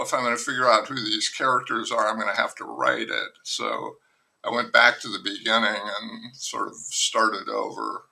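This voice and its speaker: Older male novelist, gravelly, unhurried, self-deprecating guest. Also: fairly low pitch, bright, forceful tone, low-quality recording. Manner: slow, musing, self-deprecating, with many pauses and restarts. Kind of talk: reflective conversational interview.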